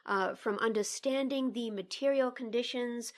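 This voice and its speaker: A woman co-hosting a literary discussion, speaking in a deliberate, articulate, emphatic way. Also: on the high side. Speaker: podcast co-host. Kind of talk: literary discussion and poetry reading.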